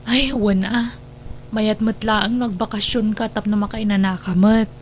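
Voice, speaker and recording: A woman's voice: mid-range voice, steady tone, a little slow, a little hiss or hum, close to an everyday voice. A woman with an Ilocano accent playing a lonely, resentful young wife in an audio drama, plaintive and evasive. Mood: wistful.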